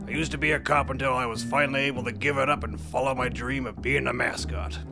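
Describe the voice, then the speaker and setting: Gravelly clown ex-cop played by a man. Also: medium pitch, steady tone, fast, over music, natural voice. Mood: eager. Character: eager clown mascot, male ex-cop playing sidekick sleuth.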